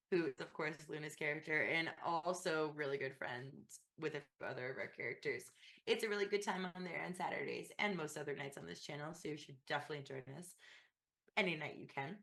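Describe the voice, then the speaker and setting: A woman's voice: fairly low pitch, pushed and bright. A woman who is losing her voice, chatting casually to viewers as a player on a tabletop gaming stream. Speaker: cast member of tabletop game livestream. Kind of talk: casual, chatty self-introduction.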